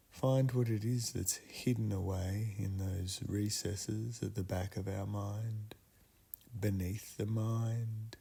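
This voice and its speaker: A man with a husky, gravelly voice narrating a guided meditation ritual in a solemn, deliberate way, leaving long pauses between short phrases. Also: fairly low pitch, dark tone.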